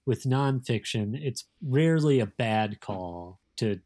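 A man talking casually as an interview guest, thoughtful and explanatory, often halting as he works through his point. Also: medium pitch, animated, a little mellow. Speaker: magazine editor, interview guest.

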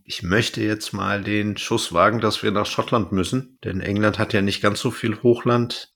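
A man with a slightly low voice speaks as a podcast co-host. His delivery is casual and conversational, like someone reviewing something with a friend. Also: a bit bright. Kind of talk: casual chat reviewing an audio drama.

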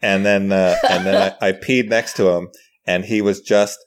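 A man chatting casually as a podcast guest, telling anecdotes in a halting, thinking-aloud way. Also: low-pitched, fairly even intonation, background noise. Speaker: podcast guest, writer and comedian.

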